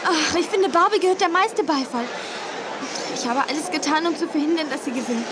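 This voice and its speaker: A woman's voice: high voice, brisk, crowd noise, noisy background, natural voice. An actress playing an eager, grateful young female presenter in a children's audio drama. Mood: grateful, elated.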